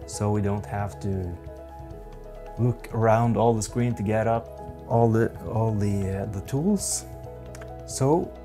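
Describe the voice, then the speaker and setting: A man in his forties with a Norwegian accent, giving a software tutorial in a methodical, halting way. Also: mid-range voice. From Norway. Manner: slow, methodical, halting explanations. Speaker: photography instructor.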